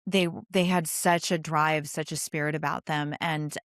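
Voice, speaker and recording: Female podcast host, lower register, earnest and emphatic, close dry sound. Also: fairly even intonation, moderate pace, dry recording. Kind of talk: conversational interview.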